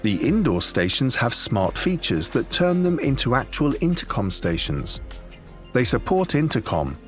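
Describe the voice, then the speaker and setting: A man reading scripted corporate product narration in a measured, formal presentational manner. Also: mid-range voice, very animated, faint music behind. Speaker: promotional video narrator.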